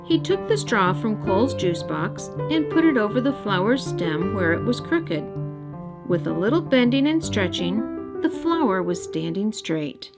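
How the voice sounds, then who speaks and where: A woman's voice: medium pitch, sing-song, measured pace. A woman reading a story aloud expressively for young children. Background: music.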